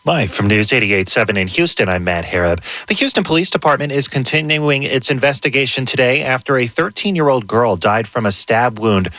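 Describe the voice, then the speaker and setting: A man's voice: medium pitch, animated, brisk, few pauses, sharp tone, no echo. A man with an American accent reading a scripted live local radio newscast. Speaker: local newsreader.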